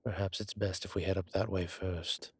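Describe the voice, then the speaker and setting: A man playing a long-lived demonology professor in an audio roleplay, calm, protective and gently wry, speaking in a measured, deliberate way. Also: low voice, slightly rough. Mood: hushed, thoughtful. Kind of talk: slow intimate audio roleplay acting.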